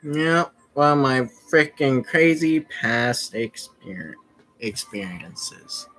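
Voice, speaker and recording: A man's voice: mid-range voice, slow, fairly bright tone, faint music behind, poor audio. A man doing casual live-stream commentary while reading a visual novel, rambling and stumbling over his words as he repeats phrases.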